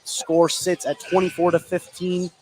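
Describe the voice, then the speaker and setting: A man's voice: high voice, completely flat delivery, measured pace, a little mellow, soft background music. A young man calling live basketball play-by-play for radio. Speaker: play-by-play sports announcer. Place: courtside in a high school gym. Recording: noisy gym ambience behind voice. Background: faint gym noise.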